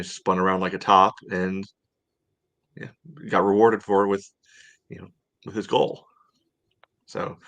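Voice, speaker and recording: A man hosting a soccer podcast, chatting casually through match analysis in a halting way full of filler phrases. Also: sits low, a bit bright, no room sound. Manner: halting, peppered with "you know".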